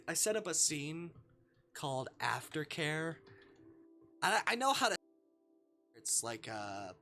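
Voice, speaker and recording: A livestreamer reading a visual novel aloud and commenting on it, expressive and sweary, with dramatic delivery. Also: mid-range voice. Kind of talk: livestream gameplay commentary mixed with read-aloud narration. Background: music.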